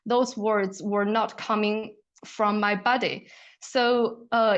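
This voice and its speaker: Woman author, native Chinese speaker with Chinese accent, mid-pitched, earnest and deliberate in literary discussion. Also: dull audio. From China. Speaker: author guest.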